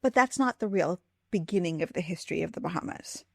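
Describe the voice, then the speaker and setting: A woman's voice: lowish voice, animated, fast, gravelly, darkish tone, no room sound, studio quality. A woman hosting a podcast and interviewing a guest in a conversational style.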